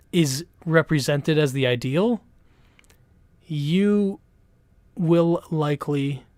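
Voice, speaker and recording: A young man from Canada chats loosely with his live stream audience, swearing freely and restarting his sentences. His voice is smooth and clear at a middling pitch, recorded close and dry with no room sound. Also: dry recording. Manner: rambling, profane, laid-back, full of restarts. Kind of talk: casual live-stream chat.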